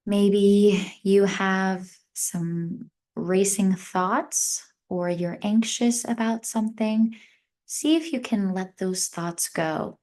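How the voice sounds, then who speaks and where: Slow-paced woman guiding a meditation. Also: mid-range voice, steady tone. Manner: gentle, measured, with long pauses between short phrases. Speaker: meditation instructor. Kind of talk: guided meditation, live class instruction.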